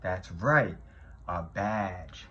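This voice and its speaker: Slow, animated man talking to children. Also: sits low, highs cut off, a little hiss or hum. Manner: slow, expressive, addressing children directly. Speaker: police officer reading to children. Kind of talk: children's read-aloud and talk to pupils.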